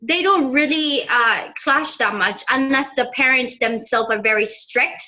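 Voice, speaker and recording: A woman's voice: high voice, a little slow, bright, forceful tone, low-quality recording. A young woman from Ethiopia, an Amharic speaker, chatting earnestly as an interview guest. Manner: open and earnest, light laughs. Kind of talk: casual interview chat.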